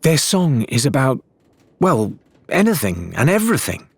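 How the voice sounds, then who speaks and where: A man's voice: medium pitch, wildly swooping pitch, measured pace, creaky, a little mellow, husky, no echo, pitched above the performer's own voice, exaggerated voice. A man voicing an earnest, thoughtful woman pirate in an audiobook, explaining things expressively.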